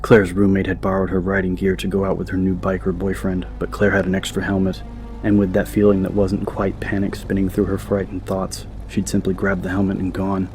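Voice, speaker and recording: A man's voice: sits low, monotone, a little fast, a little mellow, faint music behind. A man narrating an audiobook from a script in a dramatic story style.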